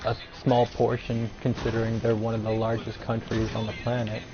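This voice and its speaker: A man's voice: mid-range voice, monotone, fairly quick. A young man from Canada streaming a game, chatting in a loose, rambling way with lots of fillers. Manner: loose, filler-heavy storytelling. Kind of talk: casual rambling chat while gaming. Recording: dull lo-fi sound with music behind.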